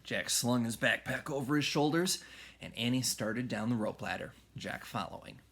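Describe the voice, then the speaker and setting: A man reading a children's story aloud in an expressive, dramatic way, moving between hushed and raised delivery. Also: medium pitch, normal speed, gravelly, breathy edge. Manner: animated, dramatic, with hushed whispers and shouts. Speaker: read-aloud storyteller, music teacher.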